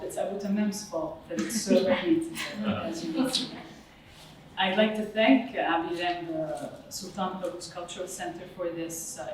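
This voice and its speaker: A woman from Lebanon giving an academic lecture on Arabic poetry, measured and thoughtful with some hesitations. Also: medium pitch, slight background noise. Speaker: guest lecturer on Arabic literature. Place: lecture room at a cultural center. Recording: distant mic in a very echoey hall.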